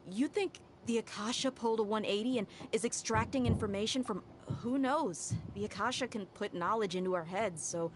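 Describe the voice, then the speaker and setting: A woman's voice: mid-range voice, normal speed, few pauses, noisy background. A woman streamer reading video game dialogue aloud.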